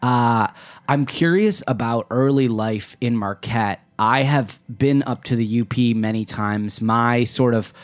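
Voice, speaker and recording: A man hosting a podcast, asking long, winding interview questions with hesitant pauses and fillers. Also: mid-range voice. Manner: hesitant, searching for words, many fillers. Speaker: podcast host.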